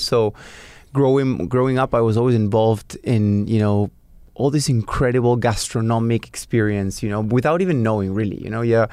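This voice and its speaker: A young man with a Peruvian Spanish accent talks easily as an interview guest, his voice smooth and resonant. He fills his sentences with "you know" and soft pauses, and the sound is close and dry. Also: medium pitch, no room sound. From Peru. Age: 20s. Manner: easygoing, many fillers like "you know" and "um". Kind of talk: casual interview chat.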